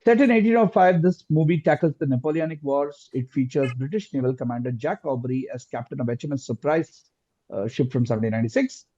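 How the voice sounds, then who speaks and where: A man hosting a quiz live, reading questions aloud deliberately and repeating answers and phrases, over lo-fi online call audio. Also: medium pitch, warm tone, breathy edge, no room sound. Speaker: quizmaster.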